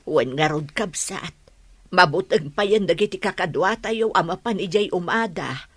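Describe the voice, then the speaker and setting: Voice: medium pitch, moderate pace, a bit bright, no room sound. A performer with an Ilocano accent playing a resigned villager in an earnest radio drama conversation. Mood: worried, cautious. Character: resigned villager talking over troubles with a kinsman. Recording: noisy recording with clicks.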